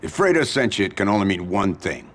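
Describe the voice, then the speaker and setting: A man playing a wary, crooked union boss in a crime game, gravelly, guarded and sly. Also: deep voice, very animated, quick pace, fairly bright tone, a little hiss or hum. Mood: wary, sardonic. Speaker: video game character actor. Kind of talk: cinematic crime drama acting.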